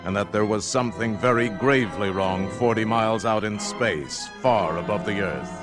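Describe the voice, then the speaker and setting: An actor playing a grave, awestruck narrator in a radio drama, speaking solemnly and deliberately with dramatic pauses. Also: male voice, on the low side, over music, close to an everyday voice. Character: grave, awestruck male narrator of an alien landing.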